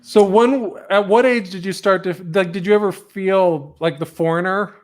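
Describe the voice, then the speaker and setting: A woman's voice: medium pitch, moderate pace. A woman hosting a casual chat who jokes and laughs often while asking questions.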